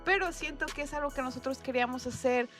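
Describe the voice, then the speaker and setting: A woman's voice: sits high, fast talker, fairly bright tone, soft background music. A woman from Mexico co-hosting a casual podcast, laughing often and dropping English words into her Spanish. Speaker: podcast co-host. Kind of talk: casual chat.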